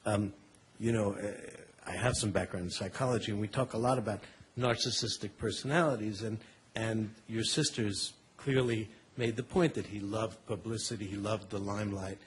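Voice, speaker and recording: Man, lower register, halting audience comment, stumbles and restarts. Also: fairly even intonation, slight background noise. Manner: hesitant, repeats words, many fillers. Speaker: audience member at a panel. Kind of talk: thoughtful spoken comment.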